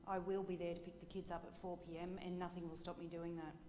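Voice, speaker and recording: A woman's voice: on the low side, level tone, quick pace, warm tone. A woman at a conference, confidently reporting her table's points to the room. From Victoria, Australia. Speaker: workshop participant. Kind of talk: reporting back to a group. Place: large conference hall. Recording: echoey hall with background noise.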